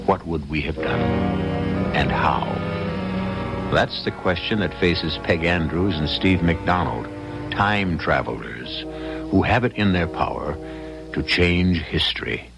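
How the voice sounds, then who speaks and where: A man hosting and narrating an old-time radio drama in a measured, solemn, rhetorical way. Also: sits low, lively intonation, creaky. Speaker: host and narrator. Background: music.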